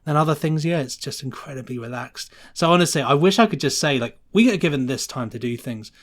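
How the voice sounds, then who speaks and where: A man's voice: on the high side, lively intonation, fast talker. A man chatting casually and enthusiastically as an interview guest, rambling through his answers in a loose, conversational way. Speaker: podcast guest, sound designer.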